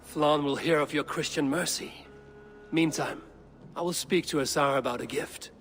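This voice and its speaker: A man's voice: a little high, normal speed, a bit bright, lightly acted. A man playing a shrewd, blunt Viking warrior and loyal kinsman in a video game cutscene. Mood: counseling, resolute. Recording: music under the dialogue.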